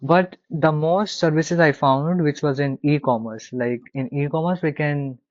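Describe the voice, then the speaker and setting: A man with an Indian accent, a consulting client speaking haltingly with many fillers on a video call. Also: on the high side, dry recording, dull audio.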